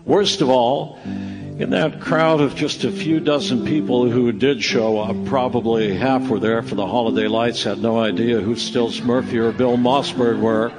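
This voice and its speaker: An actor narrating a Christmas radio play as a folksy storyteller. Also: male voice, medium pitch, steady tone, measured pace, lightly acted, low-quality recording. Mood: storytelling. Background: live music.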